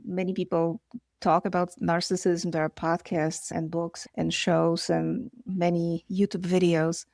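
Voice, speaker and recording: A woman psychologist from Poland, speaking English with a Polish accent as a guest, giving earnest, measured explanations. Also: on the low side, level tone, creaky, darkish tone, no room sound, clean studio sound. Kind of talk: interview answers.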